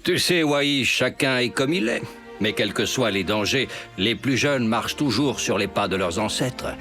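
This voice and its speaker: Wise fatherly cartoon wolf played by a man; measured, medium-pitched, loud music behind. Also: expressive, natural voice. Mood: wise, reassuring. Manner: measured, sage. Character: wise, calm adult male wolf, fatherly. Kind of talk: animated cartoon dialogue.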